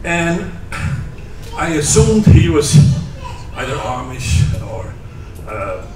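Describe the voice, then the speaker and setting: A man's voice: on the high side, very animated, slow pace. A man from the Netherlands, a native Dutch speaker, asking a string of questions from the audience in a lecture hall. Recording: roomy hall audio from far off, clear background noise.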